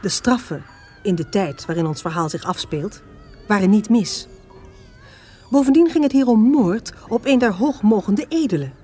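A woman's voice: mid-range voice, animated, moderate pace, mellow, husky, soft background music. A woman narrating a historical radio drama in a scripted, expository storytelling style. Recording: faint background noise.

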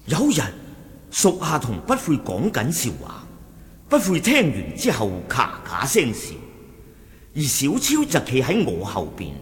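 A man's voice: mid-range voice, huge pitch swings, unhurried. An actor with a Cantonese accent playing a suspicious martial-arts sect lieutenant who reports deferentially to his chief in a radio drama, with emphatic exclamations. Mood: animated. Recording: long reverberant hall echo.

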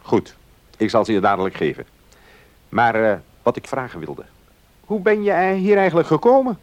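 An actor playing a genial, curious well-to-do gentleman in a Dutch radio play, speaking in a measured, polite way. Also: mid-range voice, natural voice. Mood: curious, probing.

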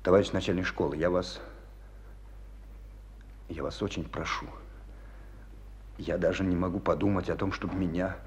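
A man acting in a radio play as a proud, earnest young officer cadet, halting and passionate. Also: deep voice, steady tone, a little hiss or hum, lightly acted. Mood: pleading, fervent. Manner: halting, earnest, swinging from subdued to fervent. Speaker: actor in a radio drama.

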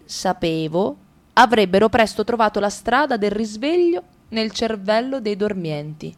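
Calm woman reading radio drama. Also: medium pitch. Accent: Italian. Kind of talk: literary radio drama narration.

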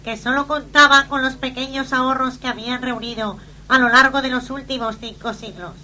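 A woman's voice: high-pitched, fairly even intonation, brisk, bright, forceful tone, a little hiss or hum, rough recording. A woman playing the narrator of a puppet-show tale, in scripted storytelling style.

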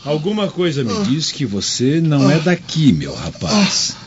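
A man's voice: a little high, huge pitch swings, measured pace, slight background noise. Tender old man, muffled recording. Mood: concerned. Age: older. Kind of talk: radio drama acting. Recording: muffled radio drama with music behind.

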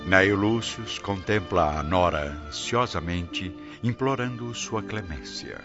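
Deep, slow male narrator. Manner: solemn, grandiloquent. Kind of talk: dramatic scripted radio soap narration. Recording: dull lo-fi audio with music behind.

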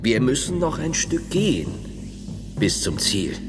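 In a long cavernous reverb with music underneath, a solemn medieval friar-philosopher in a radio play. He lectures slowly and with measured modesty, his pitch swinging very widely. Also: sing-song. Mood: solemn, lecturing. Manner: measured, didactic, philosophical phrasing. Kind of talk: German radio play acting.

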